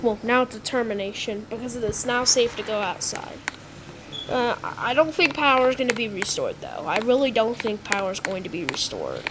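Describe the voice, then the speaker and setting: A woman improvising a disaster roleplay for a game video, speaking haltingly with repeated words and stumbles. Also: mid-range voice, big pitch swings, a crowd in the background. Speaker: gamer recording a roleplay video. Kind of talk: improvised disaster roleplay with mock alert announcements. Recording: clearly noisy background.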